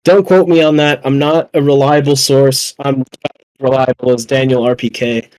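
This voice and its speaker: A man chatting casually as a podcast panelist, excitable and slangy, with profanity thrown in. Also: mid-range voice, normal speed, no room sound, low-quality recording. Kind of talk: casual chat.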